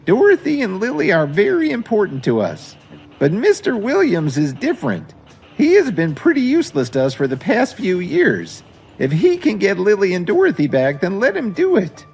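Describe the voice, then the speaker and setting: A man's voice: high-pitched, huge pitch swings, moderate pace, gravelly, a little mellow, husky, pitched above the performer's own voice, heavily acted. A man voicing an imperious, dismissive elderly grandmother in an audio drama, with a haughty, insistent manner. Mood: cold, dismissive.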